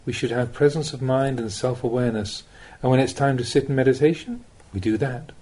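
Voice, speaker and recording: A man reading a spiritual teaching aloud as an audiobook, with measured, deliberate phrasing and pauses. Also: mid-range voice, warm tone, breathy edge. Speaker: Buddhist monk reading recorded teachings. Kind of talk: audiobook reading of a Dhamma talk.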